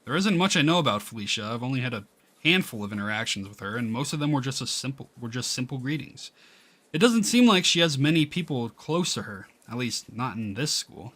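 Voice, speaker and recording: A man's voice: mid-range voice, sing-song, fairly quick, fairly bright tone. A man streaming a visual novel, reading its text aloud with casual asides and often stumbling and rereading lines. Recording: music playing behind the voice. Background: game background music.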